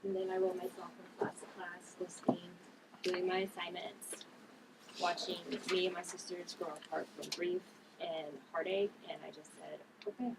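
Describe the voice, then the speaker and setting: Gentle, guarded young woman in grief actress at a table read, lower register, noisy room. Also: little pitch movement, normal speed. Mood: sorrowful. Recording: distant mic, clear background noise.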